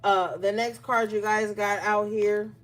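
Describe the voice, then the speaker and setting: Woman tarot reader at a mid-range pitch with soft music underneath. Her pace is unhurried and she alternates between reading from the guidebook and chatting loosely. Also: fairly even intonation. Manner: reads guidebook text aloud, then riffs conversationally with fillers. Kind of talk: collective tarot reading, casual address to viewers.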